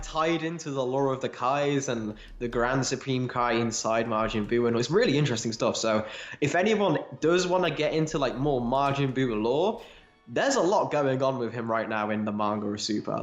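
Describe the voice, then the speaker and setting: A man's voice: medium pitch, expressive, moderate pace, soft background music. Echoey-voiced man, rambling and enthusiastic. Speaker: podcast co-host. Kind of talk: casual fan discussion. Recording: roomy, echoey home recording.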